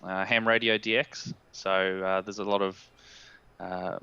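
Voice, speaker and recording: A man with an Australian accent talks casually as a guest on a video call. His voice is fairly low but clear and forward. The headset sound is dry and a little lo-fi. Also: fairly even intonation, measured pace, bright, forceful tone. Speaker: guest, amateur radio repeater builder. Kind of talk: casual interview chat over video call. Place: indoors in a plain room, video call. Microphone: headset boom mic.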